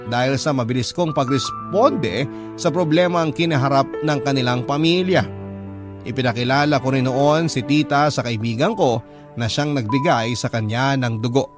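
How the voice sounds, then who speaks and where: A man's voice: medium pitch, natural voice. An actor playing an earnest, wistful young man who narrates his love story in a scripted, reflective radio drama style. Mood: grateful, relieved.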